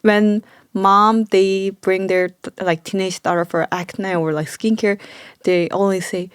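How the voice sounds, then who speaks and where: Woman clinic owner, mid pitch, loose broken English, dry sound. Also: flat delivery, unhurried, clean tone, no room sound. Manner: simple, broken English with many "like" fillers and frequent laughter. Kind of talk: casual interview chat.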